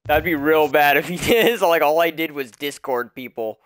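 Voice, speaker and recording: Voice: mid-range voice, normal speed. A person playing an online game and chatting casually with teammates. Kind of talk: casual gaming chat.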